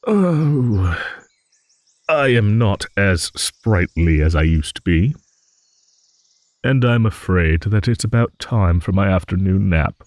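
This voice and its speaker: A man's voice: on the low side, expressive, slow, darkish tone, dry recording. A man voicing an ancient, good-natured old dragon who rambles and muses in a fantasy audio roleplay. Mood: drowsy, courteous. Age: older. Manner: rambling, musing, with hums and chuckles.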